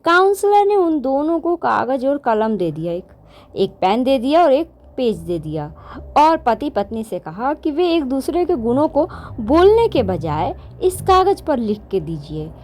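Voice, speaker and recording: Lively-voiced woman telling a story. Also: high-pitched, measured pace. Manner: conversational, with restarts and self-corrections. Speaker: storyteller host. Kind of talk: unscripted storytelling narration.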